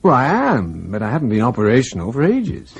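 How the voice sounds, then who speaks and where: A man's voice: medium pitch, wildly swooping pitch, a little fast, creaky, husky, lo-fi sound, exaggerated voice. A male actor playing a keen, wry desk intelligence officer in a radio drama, with a questioning manner. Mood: deferential, surprised.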